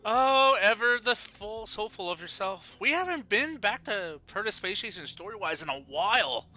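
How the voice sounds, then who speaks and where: A woman's voice: mid-range voice, expressive, normal speed, sharp tone. A woman doing casual, blunt live commentary as a game streamer, reacting to the story.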